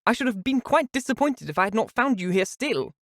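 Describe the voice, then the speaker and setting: A man's voice: extremely high pitch, sing-song, fairly quick, fairly bright tone, no room sound, studio quality, voice pushed up, full character voice. A man reading aloud voices a sly young woman who gushes with false sweetness. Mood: gushing. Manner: gushing, insinuating.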